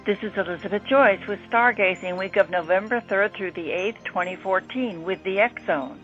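A woman's voice: mid-range voice, moderate pace. A woman presenting an astrology segment on radio, reading from a script. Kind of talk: scripted radio horoscope narration. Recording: dull lo-fi audio. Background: faint music.

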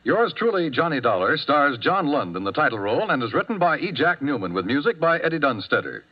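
A man's voice: fairly high pitch, big pitch swings, a little fast, faint music behind. An American man announcing scripted credits for a 1950s radio show in a formal, declamatory manner. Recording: dull vintage 1950s radio recording.

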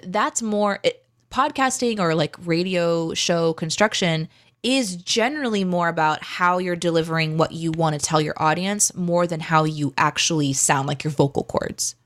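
A woman co-hosts a casual podcast and stream. Her voice sits a little low for a woman. She talks in a confident, chatty way, often saying "like". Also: normal speed. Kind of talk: casual chat.

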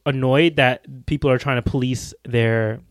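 A young man co-hosting a podcast, teasing at times and thinking aloud in a measured way. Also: medium pitch, steady tone, no room sound. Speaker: podcast co-host. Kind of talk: casual chat.

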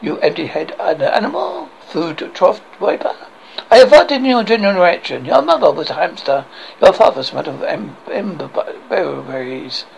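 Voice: medium pitch, very animated, normal speed, gravelly, a little hiss or hum, rough recording. A person voicing an insolent, mocking male French castle guard with a French accent, in comic script reading. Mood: taunting. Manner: mocking, contemptuous.

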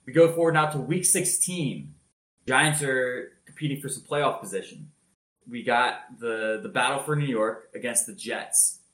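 Energetic man recapping football, roomy sound. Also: medium pitch, a little slow. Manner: energetic storytelling, loud voice. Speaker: podcast co-host. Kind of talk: casual sports recap.

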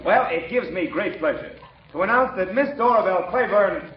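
An actor playing a proud, lovestruck suitor in a 1940s radio comedy, speaking in a flowery, declamatory way. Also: male voice, high voice, animated, a little fast. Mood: proud, elated. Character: proud, lovestruck suitor making a grand announcement. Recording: echoey, muffled, noisy 1940s radio recording.

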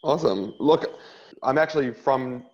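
Earnest rabbi from New Zealand. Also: male voice, medium pitch, moderate pace, a little mellow, dull audio. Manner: earnest, many filler uhs, restarts. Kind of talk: interview guest telling his story.

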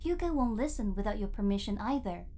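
A woman playing a cheeky, breezy, self-assured AI therapist in an audio drama, with a playful, teasing, brisk manner. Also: mid-range voice, expressive, dark tone, slight background noise, lightly acted. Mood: breezy, encouraging.

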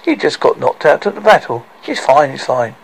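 A man's voice: fairly high pitch, big pitch swings, moderate pace, gravelly, husky, exaggerated voice, low-quality recording. A man voicing a conniving, pompous king in a storybook, smug and dismissive with false friendliness.

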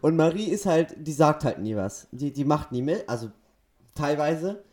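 Young male podcast co-host, slightly high-pitched, casual, stumbles and restarts while telling stories. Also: animated, a little mellow. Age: teenager or young adult. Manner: halting, self-correcting storytelling. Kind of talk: casual chat.

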